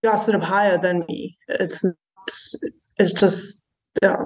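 A woman's voice: lowish voice, steady tone, unhurried, low-quality recording. A woman musician being interviewed, talking in a hesitant way with many fillers and restarts. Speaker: musician guest. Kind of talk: casual interview.

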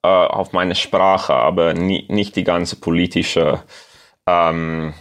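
A man from South Africa with an Afrikaans accent, a guest in an interview, speaking German haltingly with many fillers as he searches for words. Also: low voice, little pitch movement, a bit bright. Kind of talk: casual interview.

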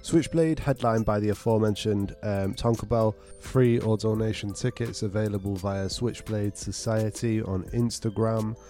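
A man's voice: lowish voice, steady tone, moderate pace, few pauses, warm tone. A man co-hosting a podcast, casually reading out announcements. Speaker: podcast co-host. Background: music.

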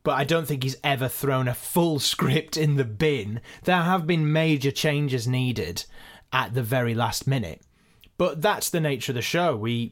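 A man, a podcast co-creator, answering listener questions in a casual, chatty, self-deprecating way. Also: medium pitch. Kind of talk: casual behind-the-scenes Q and A.

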